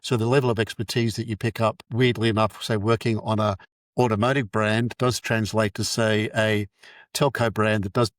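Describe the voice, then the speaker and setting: A man's voice: on the low side, level tone, moderate pace, dry recording. Rambling middle-aged male podcast host. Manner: rambling, many restarts and fillers. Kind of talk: casual interview chat.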